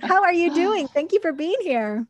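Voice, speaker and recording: Host: high-pitched woman, bubbly and gushing, warm enthusiasm in the interview chair. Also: lively intonation. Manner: gushing and bubbly, quick to laugh. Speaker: podcast host. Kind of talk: conversational interview.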